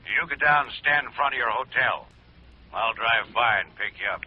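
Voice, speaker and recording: A man's voice: mid-range voice, normal speed, close to an everyday voice. An actor playing a wary, blunt small-town American construction foreman in an old radio drama, talking in a guarded, colloquial way. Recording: tinny, noisy old radio recording.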